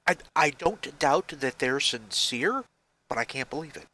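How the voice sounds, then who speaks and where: Man in his forties, bright forward voice, headset mic, rambling, self-deprecating vlog chatter. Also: mid-range voice, animated, a little slow, a little hiss or hum. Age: 40s. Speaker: vlogger. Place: basement room at home.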